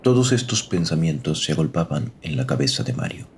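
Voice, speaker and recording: A man's voice: rumbling low, big pitch swings, brisk, slight background noise. A man narrating a scripted fiction story as a dramatic narrator.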